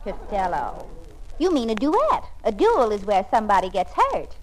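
A woman's voice: medium pitch, wildly swooping pitch, normal speed. A woman playing a sassy singing star who teases the comic, in 1940s radio comedy style. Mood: teasing. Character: sassy young woman singer, quick with comebacks. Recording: noisy old radio recording with audience.

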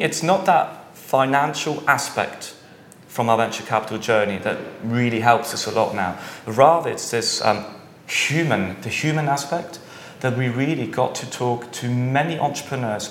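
A man's voice: medium pitch, normal speed. A man in his thirties from Switzerland, a startup founder talking casually as an interview guest, often tagging his sentences with "yeah?". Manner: relaxed, chatty, tags lines with "yeah?". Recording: echoey room with faint ambient noise.

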